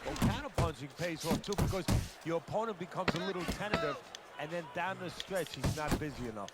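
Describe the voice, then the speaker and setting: A man's voice: a little high, expressive, fairly quick, close to an everyday voice. A man playing an opinionated boxing analyst, explaining tactics in an insistent, conversational way. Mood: assured, explanatory. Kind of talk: sports TV color commentary. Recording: loud arena noise behind.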